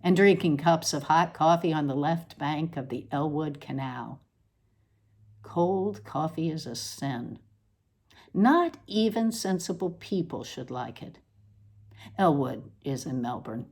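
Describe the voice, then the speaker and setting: A woman reading a scripted literary essay aloud with deliberate, theatrical emphasis. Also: sits low, lively intonation, a little mellow. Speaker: reader.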